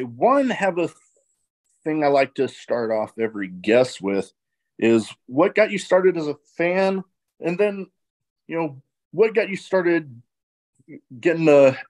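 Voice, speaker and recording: A man's voice: mid-range voice, darkish tone, low-quality recording. Male podcast host, laid-back and chatty, easy conversational tone. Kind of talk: casual interview chat.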